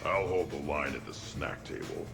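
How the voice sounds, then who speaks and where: Gravelly, bottomless-voiced alien brute. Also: male voice, expressive, moderate pace, full character voice. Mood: gruff. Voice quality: very deep, gravelly. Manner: blunt, terse. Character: gruff hulking male alien brute at a party. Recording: music playing behind the voice.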